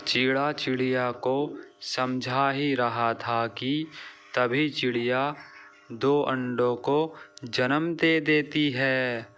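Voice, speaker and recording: A man's voice: mid-range voice, steady tone, extremely slow, a bit bright, soft background music. A man narrating a scripted children's moral story as a storyteller.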